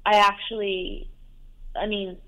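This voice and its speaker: A woman's voice: mid-range voice, flat delivery, takes it slowly, fairly bright tone. Woman, Farsi native, young adult, hesitant, lots of fillers, phone-line sound. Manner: hesitant, many fillers and restarts. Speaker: caller. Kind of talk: call-in advice talk.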